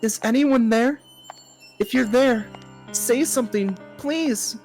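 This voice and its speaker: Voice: mid-range voice, slow, a little mellow, faint music behind, voice pushed up. A performer voicing a frightened, lost young amnesiac heroine in a visual novel, pleading and exclaiming. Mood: frightened, lonely. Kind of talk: dramatic visual novel character reading.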